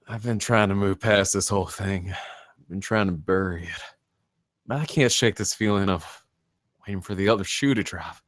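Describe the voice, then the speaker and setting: Low-voiced troubled man played by an actor. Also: moderate pace, dry recording, close to an everyday voice. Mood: anxious, sincere. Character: troubled, unraveling man haunted by guilt. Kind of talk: screenplay table read.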